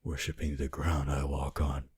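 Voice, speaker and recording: Very deep, whispery vampire lord. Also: male voice, flat delivery, a little mellow, heavily acted. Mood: domineering. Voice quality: breathy, husky, often whispering. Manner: slow, theatrical, archaic phrasing. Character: possessive, seductive, menacing vampire lord. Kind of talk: breathy whispered ASMR roleplay.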